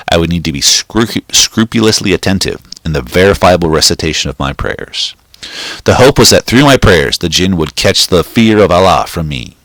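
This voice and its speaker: A man with a very low voice reads a short story aloud in a steady, measured way. The recording is dry and close, with no room sound. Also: no room sound. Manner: steady, measured reading with occasional slips. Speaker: reader.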